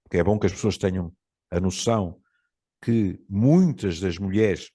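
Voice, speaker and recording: A man's voice: deep voice, mellow. Reflective Portuguese man in his seventies. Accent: European Portuguese. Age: 70s. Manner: storytelling, reflective, with frequent pauses and fillers. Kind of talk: casual conversation.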